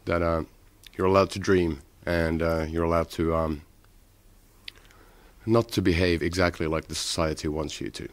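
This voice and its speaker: Low-voiced man musing haltingly. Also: steady tone, no room sound. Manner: halting, musing, many long pauses. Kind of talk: reflective interview talk.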